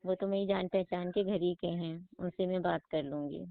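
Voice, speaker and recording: A woman's voice: fairly low pitch, steady tone, moderate pace, gravelly. A woman playing a pleading, coaxing young sister-in-law, a student, in a phone conversation with a wheedling, complaining manner. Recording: dry tinny phone-call audio.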